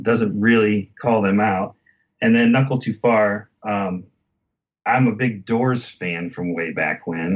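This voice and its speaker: A man's voice: on the low side, lo-fi sound. A man in a casual album discussion as a podcast host, talking in a reflective, hesitant, conversational way.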